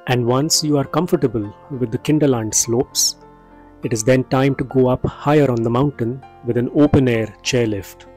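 A man giving scripted voice-over narration for a travel vlog, in a measured, informative way. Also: mid-range voice, darkish tone, soft background music.

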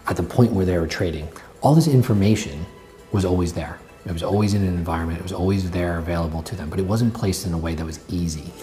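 A man in his thirties with a very low voice explains a business project in an earnest corporate interview. He is recorded indoors on a lapel mic, with soft music faintly behind him. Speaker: bank executive interviewed. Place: indoor office with brick wall.

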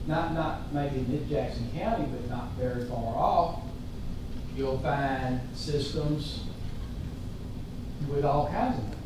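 Man presenting a budget at a public board meeting, slow and rambling, recorded from a distance in a very echoey room with steady room noise. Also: mid-range voice. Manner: slow, rambling explanation with many fillers. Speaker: school finance officer presenting budget. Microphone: distant room mic.

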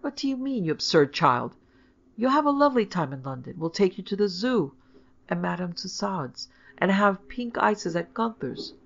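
A woman's voice: sits low, muffled recording. Woman acting a fond but firm mother. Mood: coaxing. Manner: brisk, coaxing, reproving.

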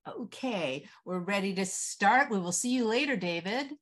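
A woman's voice: medium pitch, animated, normal speed. A middle-aged woman informally hosting and introducing readers on a video call. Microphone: video call microphone.

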